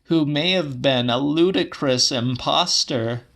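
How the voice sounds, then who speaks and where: A man voicing a stubborn, scornful old woman in a dramatic audiobook, speaking slowly and emphatically. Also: fairly high pitch, gravelly, fairly bright tone, pitched above the performer's own voice, heavily acted. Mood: scornful, insistent.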